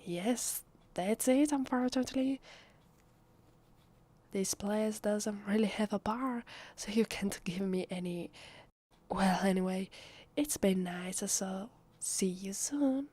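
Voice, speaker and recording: A woman with an Italian accent playing an evasive, flustered female vampire posing as human in an ASMR roleplay, speaking softly and hesitantly in a husky half-whisper. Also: medium pitch. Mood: evasive, uneasy. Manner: hesitant, slow, drawn-out.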